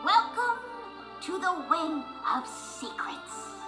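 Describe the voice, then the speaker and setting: A woman voicing an eager, excitable young girl duck in a storybook read-along, breathless and dramatic. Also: very high voice, takes it slowly, pitched above the performer's own voice, exaggerated voice. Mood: excited. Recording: roomy sound over loud music.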